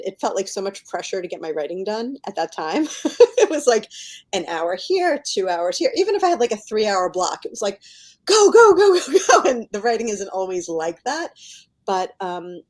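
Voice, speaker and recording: A woman's voice: medium pitch, darkish tone. A middle-aged woman chatting casually as a podcast guest, emphatic and encouraging, often laughing as she talks. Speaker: guest novelist.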